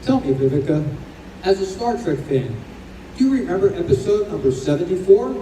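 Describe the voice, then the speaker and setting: A very soft-edged, slightly high male voice, an actor playing a kindly spirit guide who reassures souls. It is radio drama acting, heard in an echoey room from a little way off. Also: sing-song, brisk. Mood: gentle, solemn. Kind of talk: old-time radio play acting. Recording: roomy live stage recording, distant, faint noise.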